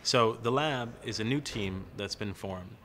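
A man in his thirties speaks as a corporate representative in an informal interview. His voice is somewhat low in pitch. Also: a little slow, a bit bright, faint music behind. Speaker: corporate innovation team representative. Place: large open-plan office, indoors.

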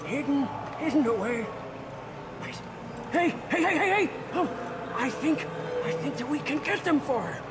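A crazed, devout wasteland mechanic from a video game. His voice is very high and swoops wildly as he stammers with excitable reverence. Loud music plays behind him. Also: normal speed. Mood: eager. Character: manic, zealous hunchback mechanic who worships an engine angel. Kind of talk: video game character acting.

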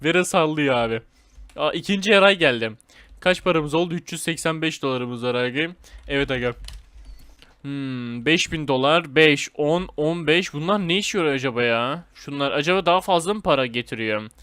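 A man giving casual, excitable gameplay commentary in informal slang, talking straight to his viewers. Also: fairly high pitch, normal speed, smooth, no echo. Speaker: gaming video host.

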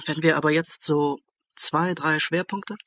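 An actor playing an earnest, anxious young police student in a radio crime drama. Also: male voice, fairly high pitch, steady tone, unhurried, fairly bright tone. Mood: uneasy. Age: young adult. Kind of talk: German radio play acting.

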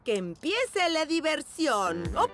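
A doting pug grandma in a dubbed kids' cartoon, with a high, very lively, sing-song delivery. She speaks slowly and playfully, and loud children's music plays behind her. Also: full character voice. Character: warm, fun-loving pug grandmother.

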